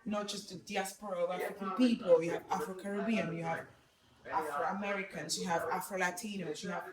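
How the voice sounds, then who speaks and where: A woman's voice: lowish voice, fairly even intonation, a little fast. A woman, chatting casually and thoughtfully in a busy museum, often restarting as she searches for words. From Argentina. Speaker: museum visitor interviewed. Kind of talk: casual conversation. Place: busy museum exhibit hall. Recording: echoey public hall with crowd.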